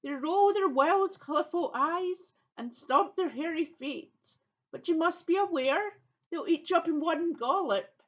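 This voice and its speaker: A woman's voice: very high voice, lively intonation, a little slow, highs cut off. A woman voicing a chirpy squawking sea bird that repeats its phrases in a children's audio play. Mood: gleeful warning.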